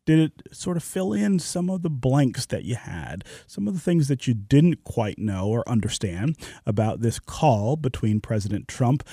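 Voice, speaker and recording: A man hosting a public radio talk show, his voice hoarse and stuffy from a cold, speaking in a measured, conversational way. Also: medium pitch, dark tone, no room sound, studio quality. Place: radio studio. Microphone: studio microphone.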